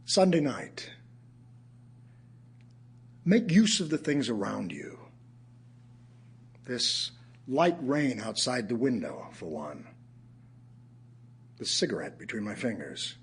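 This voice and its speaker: An actor playing an ailing middle-aged writer, reciting his poems reflectively in an audio theatre piece, with a husky voice and emphatic pauses. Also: mid-range voice, sing-song, full character voice. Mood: reflective, urgent. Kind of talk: dramatic audio theatre poetry reading.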